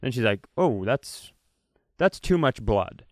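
A man's voice: medium pitch, very animated, takes it slowly, dry recording, studio quality. A man co-hosting a comedy podcast, riffing and telling stories in a casual, playful way. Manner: riffing, storytelling, playful bits. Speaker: podcast co-host.

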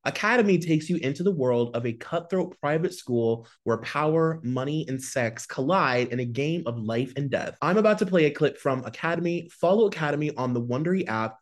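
A man's voice: a little high, lively intonation, fairly quick, few pauses. A man reading scripted narration to promote a podcast.

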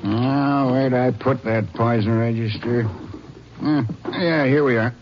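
An actor playing a folksy, chatty small-town druggist who mutters to himself in an old-time radio western. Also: male voice, medium pitch, fairly even intonation, slow pace, music in the background, close to an everyday voice. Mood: folksy, bustling. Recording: muffled, noisy vintage radio recording.

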